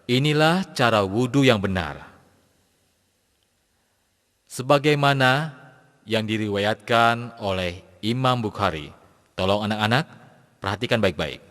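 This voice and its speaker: A man voicing a patient cartoon Islamic teacher, explaining to young pupils in a measured, didactic way. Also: on the low side, animated, a bit bright. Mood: patient, instructive. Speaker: religious teacher. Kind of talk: scripted cartoon lesson narration. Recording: long hall-like reverb.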